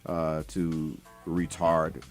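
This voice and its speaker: Deep-voiced male doctor explaining conversationally. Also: completely flat delivery, very slow, soft background music. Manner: hesitant, broken phrasing with emphatic lists. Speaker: doctor, guest.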